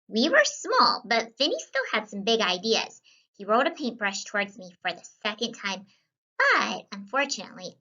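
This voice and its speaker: A woman plays a young student painter telling a storybook adventure. The voice is fairly high and very lively, with a bright edge. The delivery is wry and full of playful asides, on a slightly lo-fi recording. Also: exaggerated voice. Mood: playful, excited. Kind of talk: scripted children's read-aloud.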